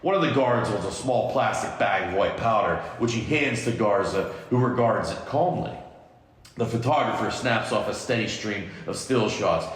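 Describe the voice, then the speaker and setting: Man, podcast host, scripted narration, lowish voice, amateur table read, long reverb. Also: expressive, normal speed. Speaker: podcast host reading stage directions. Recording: very echoey room, long reverb.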